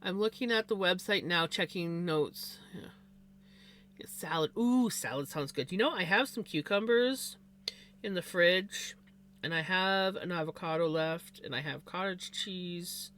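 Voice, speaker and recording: Chatty streamer with a bright, forceful voice, rambling on live with a faint hum underneath. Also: mid-range voice, measured pace. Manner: rambling and chatty, many fillers and restarts. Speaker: livestreamer. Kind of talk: casual chat on a live stream.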